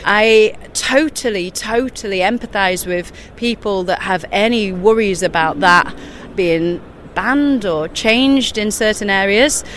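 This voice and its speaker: Chatty woman guest at a show, laughs a lot, lively asides, bit of background hum. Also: mid-range voice. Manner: animated, laughing, quick asides. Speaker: guest, children's author and eco-journalist. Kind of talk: casual interview chat. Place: busy exhibition show floor.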